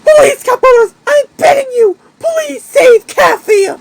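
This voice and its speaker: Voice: very high-pitched, lively intonation, measured pace, background noise, voice pushed up. A performer voicing a frantic little hamster who cries and begs for help in a homemade puppet drama. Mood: tearful, pleading. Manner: crying, begging, shouting.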